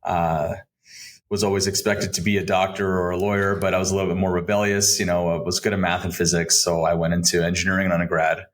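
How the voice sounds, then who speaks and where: Deep-voiced American man telling his story. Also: little pitch movement, fast. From Southern California, United States. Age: 30s. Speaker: podcast guest, advisory firm founder. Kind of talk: conversational career storytelling in an interview.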